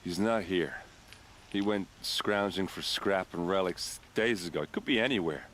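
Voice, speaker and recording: A man's voice: low-pitched, moderate pace, fairly bright tone, a little hiss or hum. A man voicing a grieving, hard-drinking captain of the guard in a video game. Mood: subdued. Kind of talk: cinematic video game acting.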